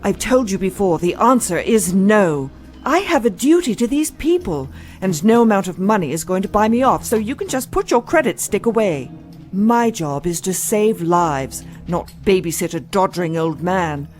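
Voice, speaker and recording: A woman's voice: mid-range voice, expressive, moderate pace, darkish tone, faint music behind, lightly acted. A woman playing a principled, no-nonsense doctor in an audio drama, blunt and sarcastic. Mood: defiant.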